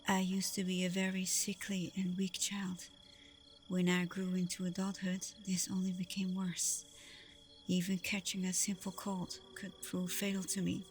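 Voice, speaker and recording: A woman playing a tender, protective vampire girlfriend in an intimate ASMR roleplay, speaking softly and breathily with a hesitant, reassuring manner. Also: mid-range voice, monotone, measured pace, faint music behind, close to an everyday voice. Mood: wistful, reflective. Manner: soft, breathy, hesitant, reassuring, often dropping toward a whisper. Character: tender, protective young female vampire girlfriend, secretive and self-doubting.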